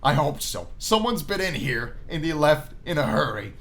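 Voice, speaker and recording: A man's voice: a little high, sing-song, normal speed, fairly bright tone. A man hosting a gaming video, reading a visual novel aloud and commenting casually in a playful, storytelling way.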